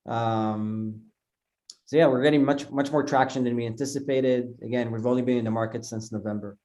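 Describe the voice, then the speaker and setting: A startup founder answering judges' questions in a matter-of-fact way. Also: male voice, mid-range voice, steady tone, brisk, a little mellow. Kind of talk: pitch Q&A.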